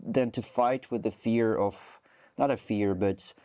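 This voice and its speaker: A man's voice: lowish voice, steady tone, measured pace, dark tone, no room sound. A Ukrainian soldier in his twenties speaking English with a Ukrainian accent, rambling with lots of "like" and restarts as an interview guest. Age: 20s. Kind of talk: interview conversation.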